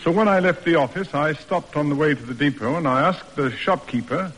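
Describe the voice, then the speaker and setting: An actor playing a stiff, proper middle-aged father in a classic radio drama, speaking in measured, roundabout formal sentences. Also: on the high side, expressive, fairly bright tone. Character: stiff, proper, reserved father in period New England. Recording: old radio recording, reverberant, music behind.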